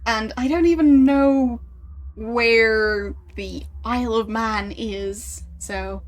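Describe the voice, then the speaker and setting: A young woman chats with her stream viewers in an easygoing, unhurried way, often chuckling. Her voice sits a little high, and faint game music plays underneath. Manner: relaxed, chuckling, teasing about her accent. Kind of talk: casual livestream chat, reading chat.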